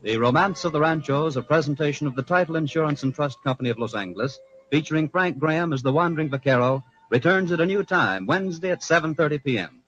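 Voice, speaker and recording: A man's voice: a little high, expressive, fast, few pauses, fairly bright tone. An American man announcing in a formal, polished 1940s radio style, reading scripted sponsor narration. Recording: dull vintage radio broadcast with music.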